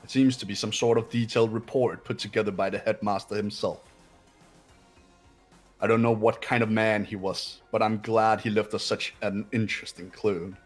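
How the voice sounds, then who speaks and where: A pompous young intellectual from a video game, voiced by a man, low and husky. He sounds condescending and analytical, with music underneath. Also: flat delivery, natural voice. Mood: smug, analytical. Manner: pompous, impatient, deliberate.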